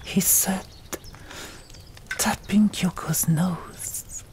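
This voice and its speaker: A woman narrating an audiobook in a breathy ASMR whisper, hushed and deliberate, pausing between short phrases. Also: on the low side, steady tone.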